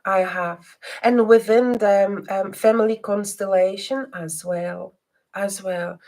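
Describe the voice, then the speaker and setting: Slow, hesitant woman with a faint Scottish twang. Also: medium pitch, steady tone. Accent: non-native English with a slight Scottish twang. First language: not English. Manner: hesitant, full of ums and restarts; soft, slow guiding voice during the reading. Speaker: psychic reader and live stream host. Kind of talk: live stream chat and guided reading.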